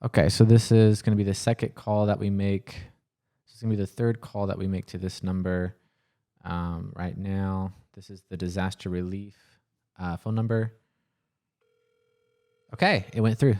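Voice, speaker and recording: Deep-voiced man, worried and frustrated. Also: little pitch movement, moderate pace, dark tone. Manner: worried, frustrated, searching for words. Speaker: coffee shop owner filming a personal vlog. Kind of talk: casual, earnest explanation to camera.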